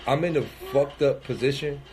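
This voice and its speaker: A man's voice: medium pitch, fairly even intonation, moderate pace, noisy background. Sniffly man in his thirties, rambling. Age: 30s. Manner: loose and rambling, thinking aloud with many fillers and restarts. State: sniffly with a cold. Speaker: rapper streaming live. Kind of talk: casual livestream monologue.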